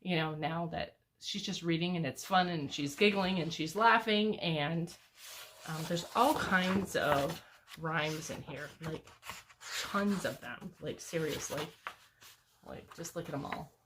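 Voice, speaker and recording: A woman's voice: sits low, a little slow, background noise. An older woman, a homeschooling grandmother, chatting enthusiastically about books in a casual way with lots of fillers. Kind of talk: casual book review chat.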